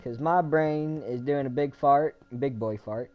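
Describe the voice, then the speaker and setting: Foul-mouthed excitable man. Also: mid-range voice, unhurried, muffled recording, a little hiss or hum. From United States. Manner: profane, loud excitable outbursts. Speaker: gaming video creator. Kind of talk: casual gameplay chat.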